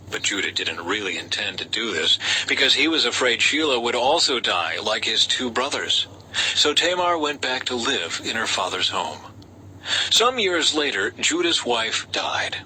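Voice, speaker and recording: A man reads a Bible story as scripted narration, in a measured storytelling way with lightly dramatized dialogue. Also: on the low side, sharp tone, poor audio. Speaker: audiobook-style scripture reader. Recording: faint background noise.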